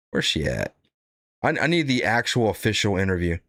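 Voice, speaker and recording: A man's voice: fairly low pitch, a bit bright, no echo, clean studio sound. Blunt, profane male commentator. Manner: blunt, profane, rambling with repeated "right?". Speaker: political commentary host. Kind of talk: casual reaction commentary.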